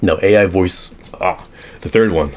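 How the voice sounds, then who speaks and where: A young man with a deep, low voice, hoarse from a recent illness. He walks through automation setups in a loose, rambling way, stopping to correct himself as he sketches. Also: unhurried. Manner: rambling, self-correcting, drawing on an iPad. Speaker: automation consultant. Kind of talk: casual screen-share tutorial.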